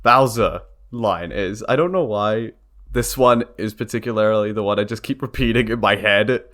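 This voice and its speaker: A man's voice: fairly low pitch, normal speed, fairly bright tone. A young man giving casual, rambling behind-the-scenes commentary for a video, prone to giggling. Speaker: video creator and fan dub member.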